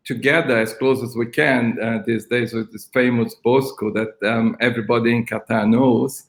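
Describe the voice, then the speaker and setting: Older Brazilian-born man, gravelly voice. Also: medium pitch, echoey room. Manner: hesitant, many ums and restarts. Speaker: school principal. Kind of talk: online interview. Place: flat at home.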